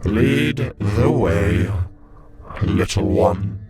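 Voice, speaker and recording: Man voicing an achingly slow, ancient robotic caretaker. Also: fairly low pitch, lively intonation, dark tone. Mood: resigned. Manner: slow, solemn, drawn-out archaic speech. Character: weary ancient robotic caretaker of a tomb world. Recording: music behind the voice.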